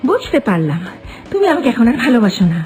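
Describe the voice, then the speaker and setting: Woman doing cartoon heroine under a spell, high lively voice, insistent. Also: quick pace, faint music behind. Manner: insistent, pushy, defensive. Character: possessed young woman, secretive and insistent.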